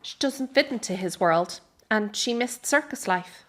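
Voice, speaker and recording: A woman's voice: mid-range voice, lively intonation, a little slow, fairly bright tone. A woman acting a young Irish circus granddaughter in a radio drama, caring but blunt with her family. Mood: careful.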